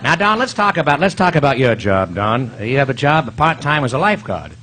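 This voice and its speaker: An actor playing a wisecracking, leering comedian who hosts a radio quiz, with sly, teasing banter. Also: male voice, medium pitch, big pitch swings, fairly quick, sharp tone. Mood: wry, teasing. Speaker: quiz show host. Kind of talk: live re-creation of old radio comedy quiz.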